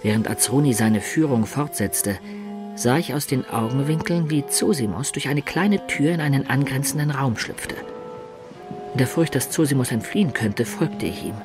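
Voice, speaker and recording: Man as measured storyteller over prominent music. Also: mid-range voice, natural voice. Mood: suspenseful. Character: male adventurer recounting his tale, measured and observant. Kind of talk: scripted narration in German radio drama.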